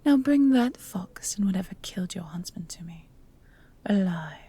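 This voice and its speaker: A woman playing a cold, menacing evil noblewoman in a fantasy audio drama, imperious, commanding and threatening in her deliberate delivery. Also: on the low side, expressive, dark tone, breathy edge, full character voice. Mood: quietly threatening.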